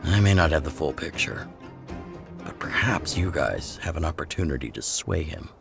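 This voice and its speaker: A middle-aged man playing a measured, pragmatic, authoritative older leader of an underground resistance in a video game. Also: extremely low pitch, lively intonation, creaky, soft background music, full character voice. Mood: measured, resolute. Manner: measured, authoritative, plain-spoken. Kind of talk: video game dialogue acting.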